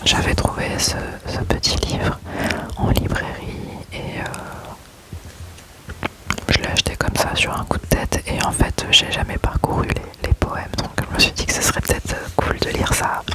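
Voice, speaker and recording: A man softly whispering love poems aloud in a close-up ASMR reading, unhurried and gentle. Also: rough recording. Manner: slow, soft unvoiced whisper throughout. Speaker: ASMR creator.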